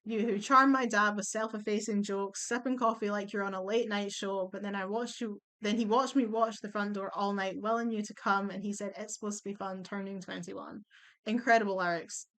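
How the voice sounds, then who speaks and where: A young woman chatting bluntly as she ranks songs at home on her computer, her voice going a little hoarse. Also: medium pitch, fairly even intonation, fairly quick, fairly bright tone. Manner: chatty, blunt, swears and laughs easily. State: losing her voice. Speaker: vlogger ranking songs. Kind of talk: casual chat.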